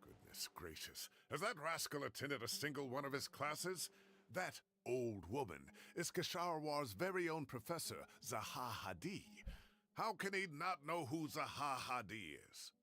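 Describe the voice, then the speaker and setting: An actor playing a fussy, grumbling older professor in a video game, indignant and blustering. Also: male voice, on the high side, very animated, measured pace, sharp tone, heavily acted. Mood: indignant, flustered.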